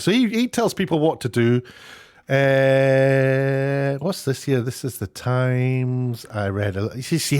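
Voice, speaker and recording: A man's voice: medium pitch, a little mellow. A British man giving casual opinion commentary in a sarcastic, mocking way, sounding exasperated and incredulous. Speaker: YouTube commentator.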